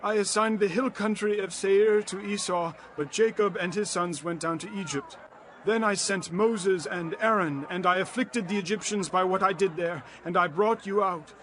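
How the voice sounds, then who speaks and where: An actor playing an aged leader of Israel, grave and exhorting, in a dramatized scripture reading. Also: male voice, high-pitched, normal speed, fairly bright tone, a little hiss or hum. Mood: solemn, declaring. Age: older. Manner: grave, declamatory, emphatic.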